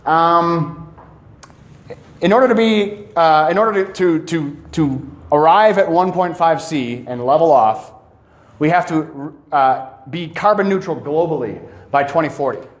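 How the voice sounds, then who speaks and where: A man with a slightly high voice gives an informal lecture to a room. He speaks in emphatic bursts, repeating key phrases and pausing to search for words. The sound is strongly echoey, as in a reverberant indoor space. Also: highs cut off. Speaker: presenter giving a climate talk. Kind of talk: informal educational lecture to a live audience.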